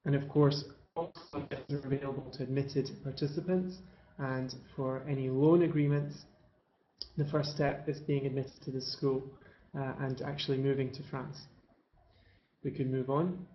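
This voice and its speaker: Male webinar presenter, flat delivery, echoey dull lo-fi audio, measured and halting. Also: medium pitch, slight background noise. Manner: measured, halting with many fillers. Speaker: admissions presenter.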